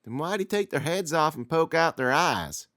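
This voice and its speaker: A young boy of around eight, high and shrill, as a man puts him on for an audiobook. His voice leaps around in a lively, bright way. The recording is close and dry. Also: moderate pace, no echo. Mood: curious. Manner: high, shrill, breathless yelling.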